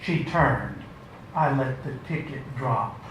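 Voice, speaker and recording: A man giving a measured, theatrical and wry reading of literary fiction at a live event. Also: mid-range voice, a bit bright. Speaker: author reading aloud. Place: indoor launch event before an audience. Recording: roomy live event, faint noise.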